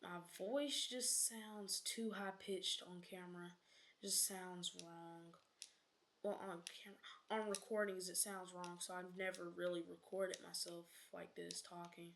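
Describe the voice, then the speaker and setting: Young woman with a gravelly fry. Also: on the low side, fairly even intonation, measured pace, fairly bright tone, slight background noise. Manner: rambling, sidetracking, self-correcting, dropping into whispers. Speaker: game streamer. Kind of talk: casual rambling stream chat.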